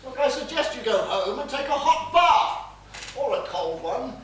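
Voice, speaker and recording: A man's voice: very high voice, very animated, a little slow, a bit bright, heavily acted. A man playing a pompous, impatient general in a farcical stage comedy, speaking in curt, dismissive exclamations. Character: pompous, impatient, dismissive married male general, senior official. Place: small theatre stage, far from mic. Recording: distant, very echoey, hissy theatre recording.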